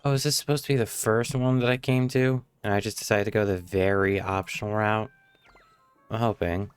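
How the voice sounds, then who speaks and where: A man's voice: lowish voice, steady tone, fairly quick, smooth, no room sound. A man streaming a game live, giving casual commentary as if muttering to himself. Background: faint game music.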